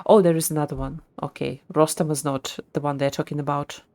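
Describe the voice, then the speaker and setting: A woman's voice: deep voice, fairly even intonation, fairly quick, warm tone. A woman livestreaming a game, reacting casually and thinking aloud.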